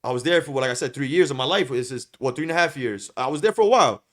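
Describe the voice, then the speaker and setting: A man's voice: medium pitch, lively intonation, very fast, a bit bright. A young man chatting casually as a podcast host, rambling with lots of filler and repeating himself. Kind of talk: casual chat.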